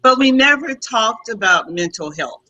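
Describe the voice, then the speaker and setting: Bright, high-voiced woman hosting. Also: animated, normal speed, muffled recording. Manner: chatty, laughs often. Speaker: talk show host. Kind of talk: casual chat moderating a panel.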